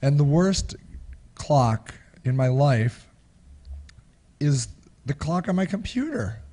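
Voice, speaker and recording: A middle-aged man with a raspy, husky voice from a cold, hosting a live webinar in casual, rambling chat with many restarts. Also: fairly high pitch, sing-song, slow pace, warm tone. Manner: rambling, many restarts and chuckles, gestures with hands. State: recovering from a cold. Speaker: webinar host. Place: small home office, indoors. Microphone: studio microphone close in front.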